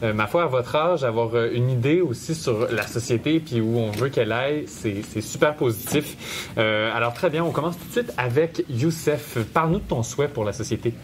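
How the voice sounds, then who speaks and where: A man's voice: mid-range voice, normal speed, slight background noise. A man interviewing teenagers for a podcast, encouraging and a little hesitant. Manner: encouraging, repeats "très bien", hesitant fillers. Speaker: interviewer hosting a school podcast.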